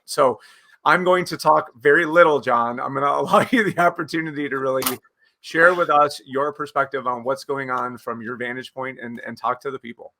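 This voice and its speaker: Bright-voiced man hosting an interview. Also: medium pitch. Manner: rambling, many fillers and restarts. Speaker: host. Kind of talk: conversational interview.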